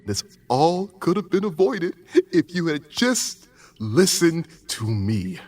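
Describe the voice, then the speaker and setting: A man's voice: on the high side, big pitch swings, slow, a little mellow, far from the mic, faint music behind, pitched above the performer's own voice, full character voice. A man playing an irritable, condescending older father in a tabletop adventure, lecturing with exasperated sarcasm. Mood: scolding, bitter.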